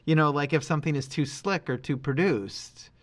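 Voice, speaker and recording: A man's voice: a little high, moderate pace. A man co-hosting a podcast, chatting casually about reviews in a hesitant, self-correcting way. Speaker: podcast co-host.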